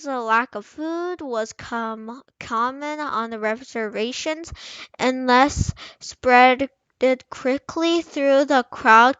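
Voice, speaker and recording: A young girl with a high voice reads a history book aloud at a slow, halting pace. She trips over words and sometimes drifts into playful sing-song. The recording is dry and a little dull. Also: fairly bright tone, clear, resonant tone, no echo. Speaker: young reader practising aloud.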